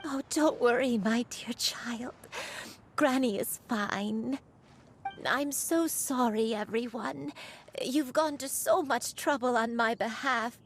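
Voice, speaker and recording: An actress playing a gentle elderly grandmother who is secretly an ancient crane spirit, speaking softly and tenderly in a video game. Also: on the high side, faint music behind. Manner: soft, tender and slow-spoken. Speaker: game character.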